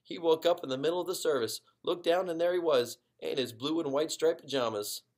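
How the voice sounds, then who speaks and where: A man voicing an easygoing, gently bossy family dad with a folksy, emphatic way of talking in a children's story. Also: a little high, a little fast, pitched above the performer's own voice, lightly acted. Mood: nostalgic, amused.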